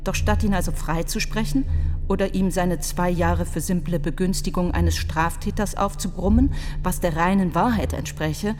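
A woman's voice: lowish voice, normal speed, darkish tone, big-room sound, slight background noise, lightly acted. An actress playing an indignant, outspoken woman defence lawyer in a radio play, with a blunt, sardonic and emphatic manner. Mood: exasperated, explaining. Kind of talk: radio play dramatization of a court report. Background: music.

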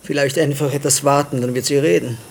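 An actor in a radio drama playing a reflective, gently ironic hospital psychiatrist with a dry, understated manner. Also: male voice, medium pitch, steady tone, quick pace. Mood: dry, questioning. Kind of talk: radio drama narration and dialogue. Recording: clear ambient noise, vehicle sounds.

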